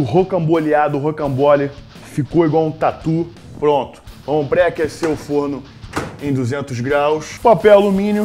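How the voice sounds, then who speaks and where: A young Brazilian man hosting a comic cooking vlog in his home kitchen, brash and jokey, jumping from loud hype to low mutters. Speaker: cooking show host.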